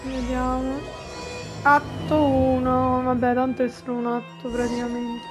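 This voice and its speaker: Female Italian gaming YouTuber: high voice, little melodic movement, informal chatty commentary, faint game music behind. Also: completely flat delivery, moderate pace. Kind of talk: casual gameplay commentary. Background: video game music and dialogue.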